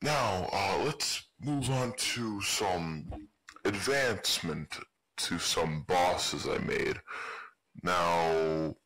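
A man's voice: deep voice, expressive, very slow, fairly bright tone, a little hiss or hum, heavily acted, low-quality recording. A man voicing an awkward, dismissive mad doctor who rambles hesitantly, in amateur game character lines. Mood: flustered.

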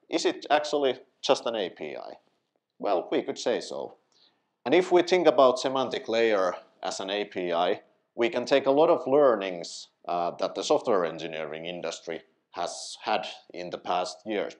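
A man in his thirties from Finland speaks at a tech meetup, his voice sitting a little low. He explains step by step with frequent fillers and self-corrections. He is picked up by a headset mic in a small event room. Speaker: conference speaker.

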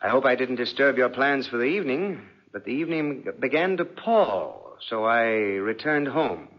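An actor playing a suspicious aristocratic husband in a 1940s radio drama, with silky, deliberate, insinuating politeness. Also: male voice, medium pitch, sing-song. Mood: veiled menace. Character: suave, suspicious, menacing husband, an aristocratic lord. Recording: dull, muffled 1940s radio recording.

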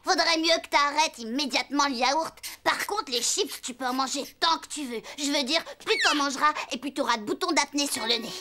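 A woman's voice: high-pitched, a little fast, few pauses, close to an everyday voice. A woman voicing a small schoolboy sidekick in a French cartoon, a hesitant, earnest know-it-all. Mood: earnest advising. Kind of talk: French animated series dialogue. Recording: loud music behind the voice.

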